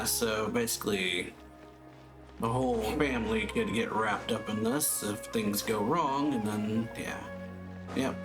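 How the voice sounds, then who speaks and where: A woman's voice: very deep voice, rough recording. A woman giving casual, laid-back commentary as she plays a game, often in short murmured reactions. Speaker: let's play streamer.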